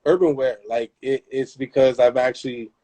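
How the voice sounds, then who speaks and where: A man's voice: mid-range voice, fairly even intonation, moderate pace, dark tone. A young man from Southern California, hosting a casual live chat, talking emphatically and repeating himself as he makes his point. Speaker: host.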